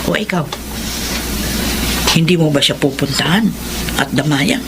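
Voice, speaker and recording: A woman's voice: low voice, unhurried. An actress playing a troubled, resigned, prayerful older mother in a Filipino radio drama. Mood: resigned, concerned. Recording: clear background noise.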